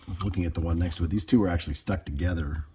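A man with a deep, mellow voice talks casually through hands-on work, thinking aloud as he goes. It is an unscripted tutorial recorded at a workbench on a nearby camera mic, with clear background noise. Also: rapid-fire. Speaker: hobbyist repairer demonstrating his work. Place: indoors at a workbench.

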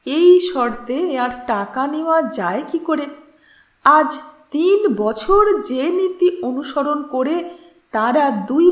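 A woman reads a literary story aloud in an expressive narrator's style. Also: sits high. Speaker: channel host and story narrator. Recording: roomy, echoing voice recording.

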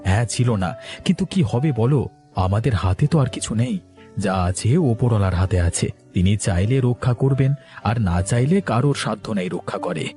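A man voicing a calm, devout village husband in a cartoon, gentle and resigned as he reassures. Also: lowish voice, normal speed, soft background music, lightly acted. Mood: reassuring. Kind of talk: cartoon voice acting.

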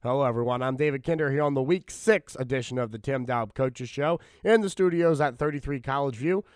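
Hoarse male college radio host. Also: mid-range voice, a little fast, dry recording, studio quality. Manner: excited play-by-play calling, then relaxed questions. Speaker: radio host and play-by-play announcer. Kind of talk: casual radio interview.

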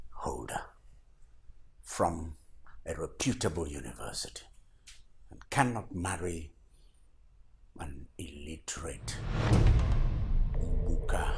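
A man playing a gravelly, stern old father. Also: very deep voice, heavily acted. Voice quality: gravelly, slow and measured. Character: stern wealthy father, older man, contemptuous. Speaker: girlfriend's father. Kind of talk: skit acting. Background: music.

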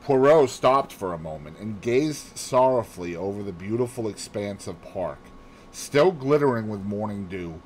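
A man reading a novel aloud as an expressive storyteller. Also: on the low side, moderate pace, slight background noise, low-quality recording. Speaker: audiobook reader. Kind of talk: expressive scripted story narration.

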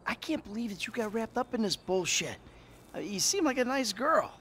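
A man's voice: high-pitched, sing-song, moderate pace, sharp tone, a little hiss or hum, full character voice. An actor playing a blunt, exasperated, sarcastic man humouring a cult in a video game. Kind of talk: video game cutscene acting.

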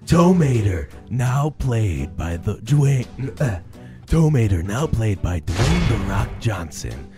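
A booming movie trailer announcer, as a young man puts him on, pitched very low and laid thick over music. The delivery is overblown and dramatic. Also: big pitch swings, takes it slowly.

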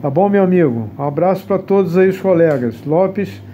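Mellow higher-voiced man. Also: expressive, moderate pace, dull audio, a little hiss or hum. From Brazil. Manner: friendly, courteous, repeating sign-offs. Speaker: amateur radio operator. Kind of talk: casual radio contact talk.

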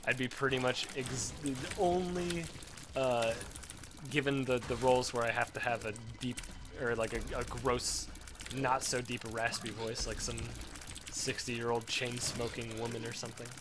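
A man's voice: mid-range voice, level tone, measured pace. Young guy w sore throat rambling thru a game, cursing at it, kinda hissy recording. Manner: rambling, self-deprecating, swears at the game. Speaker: let's play commentator. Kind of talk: casual gameplay commentary.

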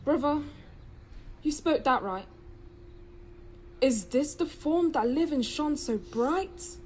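A young woman playing an earnest, sardonic avenger's sibling in a Jacobean audio drama, speaking verse in a measured, dramatic way. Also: high-pitched, lively intonation, darkish tone, a little hiss or hum, low-quality recording. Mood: pensive wonder. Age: young adult.

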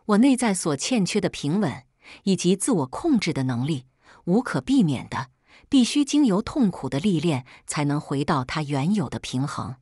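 A woman narrating a first-person book from a script in a measured, reflective manner. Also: fairly low pitch, very animated, warm tone, husky, no room sound, studio quality. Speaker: audiobook narrator.

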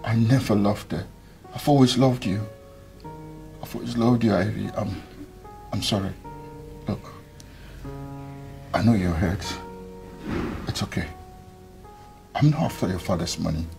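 An actor playing a two-timing young groom who pleads softly and evasively. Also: male voice, on the low side, a little slow, vocal fry, pauses often, soft background music. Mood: pleading. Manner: pleading, soft and insistent.

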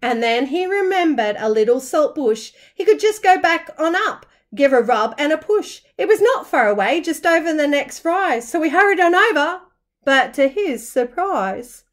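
A woman reading a rhyming children's storybook aloud in an expressive, dramatic, sing-song way, like a teacher. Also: sits high, normal speed. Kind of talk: children's storybook narration in rhyme.

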